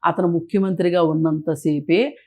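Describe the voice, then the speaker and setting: A woman's voice: on the low side, steady tone, normal speed, a little mellow. A woman speaking as an interview guest, giving critical, opinionated political commentary in a colloquial, conversational way.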